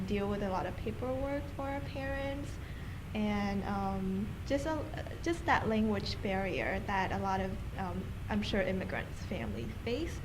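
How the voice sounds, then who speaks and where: Young Vietnam-born woman, hesitant. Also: mid-range voice. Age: young adult. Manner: hesitant, many ums, sincere. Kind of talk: interview testimony. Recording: noisy background.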